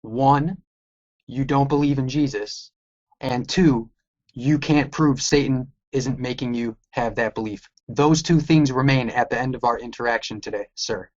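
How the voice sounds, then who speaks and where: A man's voice: mid-range voice, measured pace. Man, heated debate, combative, repeats challenges, dull lo-fi sound.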